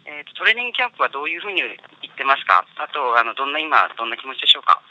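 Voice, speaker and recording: A man's voice: mid-range voice, sing-song, very fast, bright, forceful tone. A man working as an interpreter on a press call, translating hesitantly and repeating words, speaking over a telephone. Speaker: interpreter between Japanese and English. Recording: dull lo-fi telephone conference line.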